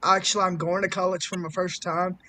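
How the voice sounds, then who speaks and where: Young guy hosting a stream, high voice, hyped up and cussing a lot. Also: a little fast. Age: 20s. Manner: excitable, swears freely. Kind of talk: casual chat.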